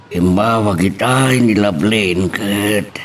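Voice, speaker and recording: A deep-voiced older father played by a male actor speaks in a radio-style drama. He is troubled and halting, sighing between broken phrases. Faint noise sits under the recording. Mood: hesitant, worried. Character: worried older father of a troubled daughter, rural man.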